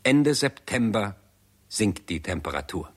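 An actor narrating a radio drama as a grave chronicler, in a measured, sober, matter-of-fact manner. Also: male voice, on the low side, very animated, husky, heavily acted. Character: grave male chronicler of a world catastrophe.

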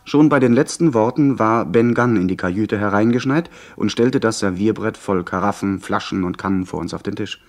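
A man plays the storyteller of a pirate adventure radio drama. His voice sits a little low, and he narrates in measured, literary fashion. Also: a little mellow, lightly acted. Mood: narrating. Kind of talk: scripted first-person radio play narration.

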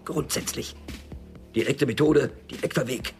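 An actor playing a stubborn, wary small-time drug dealer and loner in a radio crime drama, curt, dry and cynical. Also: male voice, mid-range voice, a little slow, gravelly, faint music behind. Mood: defiant.

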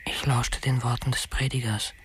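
An actor playing a delusional, obsessive killer who muses to himself in a hushed, halting, brooding radio-drama monologue. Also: male voice, mid-range voice, flat delivery, whispered, natural voice. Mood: reverent, obsessive.

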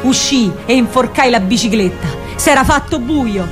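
A woman with a Roman accent performing an expressive, colloquial first-person monologue as a young partisan courier girl in a radio drama. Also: on the high side, normal speed, over music. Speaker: actress narrating her own monologue.

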